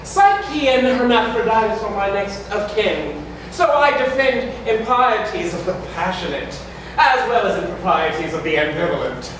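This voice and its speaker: A performer playing a festive, ceremonious god of lovers, declaiming florid verse in drawn-out phrases at a staged reading. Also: mid-range voice, sing-song, close to an everyday voice. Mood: grand, playful. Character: Hemeros, grandly festive male deity of lovers, ceremonious herald. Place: community hall stage before an audience. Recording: echoey hall, distant mic, noisy.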